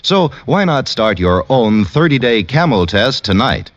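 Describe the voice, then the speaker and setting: A man announcing a sponsor pitch in persuasive 1950s radio style. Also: big pitch swings, unhurried, no room sound. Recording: dull lo-fi old radio recording.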